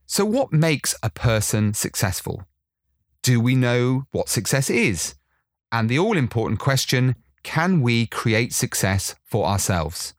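A man's voice: medium pitch, big pitch swings, a little slow. A man hosting a podcast, reading an enthusiastic, polished introduction of his guest. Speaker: podcast host.

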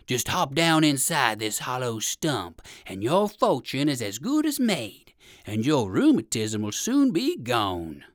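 A woman voicing a sly, hungry big bear in a children's storybook, coaxing with quiet menace. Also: rumbling low, sing-song, no room sound, clean studio sound, voice pushed down, exaggerated voice. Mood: wheedling. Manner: slow, coaxing, menacing salesman.

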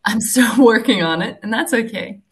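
A woman's voice: a little high, moderate pace. A woman in her twenties giving candid, conversational interview answers as an athlete guest, reflecting and thinking aloud. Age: 20s. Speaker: professional tennis player, podcast guest.

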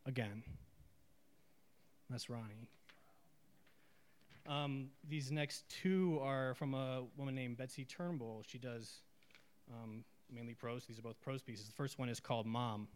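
A man who leads a writing workshop, introducing his students' pieces in a loose, halting way and reading them aloud slowly and deliberately. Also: mid-range voice. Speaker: writing workshop leader reading participants' work. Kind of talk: live reading to an audience.

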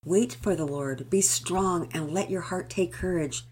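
A woman voicing a steady, kind father who gives calm, measured advice. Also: lowish voice, a little hiss or hum, close to an everyday voice. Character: steady, kind father, middle-aged man.

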